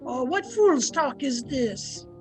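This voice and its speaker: A woman's voice: high voice, animated, takes it slowly, over music. A woman playing a blunt, devoted household servant who scolds, in old-time radio drama style. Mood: suspicious, scolding. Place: at home, recorded over a video call.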